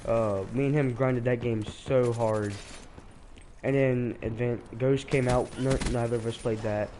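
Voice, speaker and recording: A teenage boy chats loosely over his game, his voice mellow and stuffed up from a cold. He wanders from point to point and restarts his sentences often, with a faint hum behind him. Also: medium pitch, steady tone, measured pace. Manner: rambling, hesitant, with many restarts. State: sick, congested. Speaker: streamer. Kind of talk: casual gameplay commentary.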